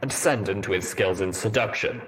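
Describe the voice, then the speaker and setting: A man voicing a stern, suspicious armored soldier pony with a deep rumbling voice and a wry, deadpan manner in a story reading. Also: normal speed, close to an everyday voice, rough recording. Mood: wry suspicion.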